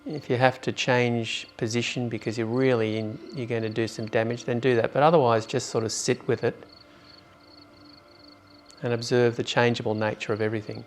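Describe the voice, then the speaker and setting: A man leading a guided meditation in an informal, unhurried way with long pauses. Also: medium pitch, level tone, soft background music.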